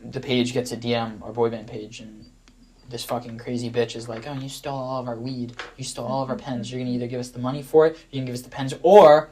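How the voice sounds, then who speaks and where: A man's voice: medium pitch, little pitch movement, fast talker, warm tone, slight background noise. A young man in his mid-twenties talks in a laid-back, sarcastic way. He co-hosts a podcast and keeps the chat casual and loose. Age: 20s. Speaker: podcast co-host. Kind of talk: casual banter.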